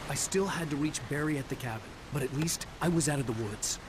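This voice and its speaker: A man playing a haunted American writer in a dramatic game, intense and troubled. He speaks as a man searching for his wife, with clear noise behind him. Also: a little high, quick pace, close to an everyday voice. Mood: brooding. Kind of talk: dramatic game acting and brooding first-person narration.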